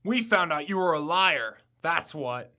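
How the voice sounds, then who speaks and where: An actor playing a brash, suspicious young amateur detective in an audio drama, with pointed, accusatory questioning. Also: male voice, a little high, expressive, a little slow, sharp tone, exaggerated voice. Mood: accusing. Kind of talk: scripted audio drama acting.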